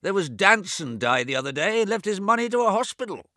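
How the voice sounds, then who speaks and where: A man's voice: sits high, big pitch swings, fast, bright, forceful tone, dry recording, clean studio sound, pitched above the performer's own voice, full character voice. Man doing a fussy, deaf old miser. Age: 80s. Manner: fussy, repetitive, prattling. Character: hard-of-hearing elderly man, money-minded and fussy.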